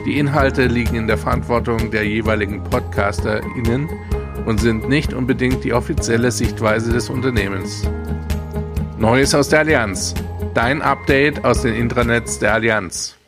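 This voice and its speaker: A man's voice: mid-range voice, steady tone, moderate pace. Male announcer over guitar music. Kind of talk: scripted announcer intro.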